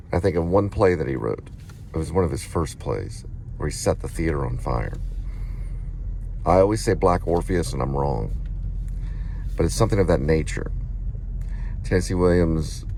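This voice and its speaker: Low-voiced middle-aged man rambling. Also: gravelly, a little mellow, slight background noise. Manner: wandering, reminiscing, spells things out. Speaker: vlogger and amateur playwright. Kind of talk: casual rambling vlog talk.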